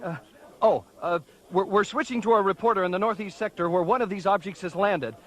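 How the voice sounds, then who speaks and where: A shaken male newscaster played by an actor reads an emergency bulletin in a high voice. He is formal but halting, as in a science fiction radio play. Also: background noise, close to an everyday voice. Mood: shaken, urgent. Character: shaken male news anchor reporting an invasion. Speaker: news anchor. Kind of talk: emergency news bulletin in audio drama.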